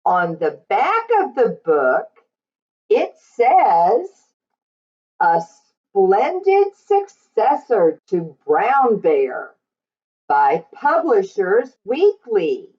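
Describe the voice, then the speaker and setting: A woman reading a picture book aloud to young children, in a sing-song, drawn-out way with questions to her listeners. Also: mid-range voice, big pitch swings, lo-fi sound.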